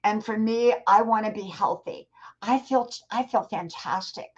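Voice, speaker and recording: A woman in her sixties talks in a friendly, rambling way, circling back over her own words as she encourages her viewers. Her voice sits at an ordinary middle pitch. The stream audio is a little dull and lo-fi. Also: a little slow, slightly rough. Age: 60s. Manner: chatty, repeats herself, encouraging. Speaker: live stream host. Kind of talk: casual live stream chat with viewers.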